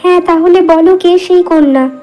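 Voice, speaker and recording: A woman acts out a devoted village wife in a cartoon folk tale. Her voice is high, and she speaks in an eager, agreeable and earnest way. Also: normal speed, dark tone, faint music behind. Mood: worried. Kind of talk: cartoon dialogue.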